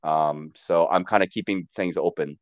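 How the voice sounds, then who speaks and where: A man's voice: low voice, steady tone, normal speed, no echo, muffled recording. A man in his thirties, born in Korea with Korean as his first language, chatting hesitantly as a guest with many fillers over a video call. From born in Korea, raised in the US. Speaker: panel guest. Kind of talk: casual livestream chat.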